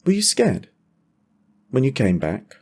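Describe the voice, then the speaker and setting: A man's voice: mid-range voice, huge pitch swings, slow pace, darkish tone, breathy edge, pitched above the performer's own voice, heavily acted. A man voicing a curious, earnest young boy in a fantasy audio drama. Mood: curious, tentative. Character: young boy, curious, tired, eager to be brave. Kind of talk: audio drama character dialogue.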